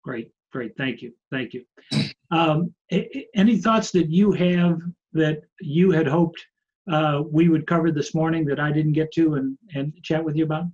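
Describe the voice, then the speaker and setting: A man hosting an interview, asking long halting questions full of fillers and restarts. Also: fairly high pitch, darkish tone. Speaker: interviewer host. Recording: husky, dull lo-fi audio.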